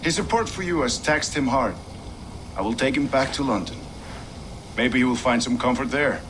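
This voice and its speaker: An actor playing a worried, caring Viking companion in a game. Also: male voice, medium pitch, expressive, moderate pace, fairly bright tone, slight background noise, close to an everyday voice. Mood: concerned.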